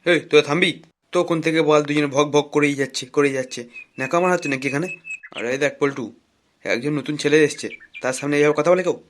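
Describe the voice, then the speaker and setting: A man's voice: on the high side, fast, background noise, natural voice. A man voicing a crude, bossy married elder-brother figure who grumbles and scolds in a comic cartoon. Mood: scolding. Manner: scolding, foul-mouthed, complaining banter.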